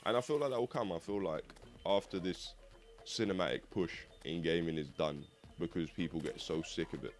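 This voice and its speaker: Deep-voiced croaky young man. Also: a little fast, faint music behind. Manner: rambling, swearing, casual. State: slightly croaky voice. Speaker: gaming video host. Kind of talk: rambling casual monologue over gameplay.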